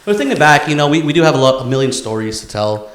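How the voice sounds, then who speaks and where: A man's voice: fairly high pitch, big pitch swings, fast talker, fairly bright tone. A young man co-hosting a casual podcast, chatting off the cuff in a crude, profane, joking way. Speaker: podcast co-host. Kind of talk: casual, unscripted podcast banter. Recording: echoey room with faint noise.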